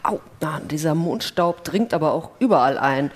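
A woman's voice: sits low, animated, normal speed. A woman playing a weary, grumbling astronaut on the moon in a staged radio-play reading. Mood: grumbling, wistful. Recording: reverberant hall with loud noise and clicks.

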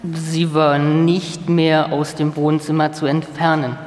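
Male period witness played by an actor, fairly high voice, live radio drama, long hall reverb. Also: level tone, slow pace. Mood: reminiscing. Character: male German contemporaries, a recalling colleague and a stern commander. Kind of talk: live documentary radio play.

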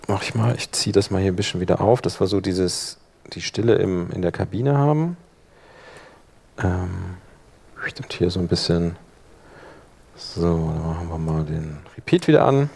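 A man leading a workshop, explaining informally and thinking aloud while he operates the software. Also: low-pitched, vocal fry, breathy edge. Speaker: workshop instructor.

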